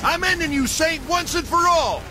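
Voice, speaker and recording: An actor playing a menacing, vengeful male villain in a video game, with shouted, drawn-out threats. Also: very high voice, very noisy. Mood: furious, menacing. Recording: loud music and gunfire over voice.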